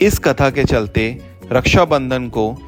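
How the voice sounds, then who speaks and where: A man's voice: mid-range voice, slow pace, close to an everyday voice. Man acting as storytelling father, explanatory, simple wording, children's mythology tale, music underneath. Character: father telling his child festival mythology stories. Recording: music behind the voice.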